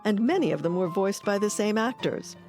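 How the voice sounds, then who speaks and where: Female documentary narrator: mid-range voice, measured, articulate, soft music underneath. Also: mid-range voice, lively intonation. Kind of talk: scripted narration.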